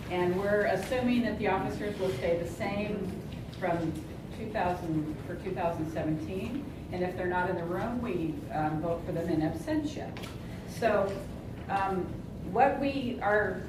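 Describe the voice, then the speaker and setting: Loud woman running a board vote, plain procedural talk, roomy hall and a bit far off. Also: mid-range voice. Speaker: board member running a board election. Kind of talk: meeting presentation. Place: meeting hall. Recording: roomy hall, distant mic, background noise.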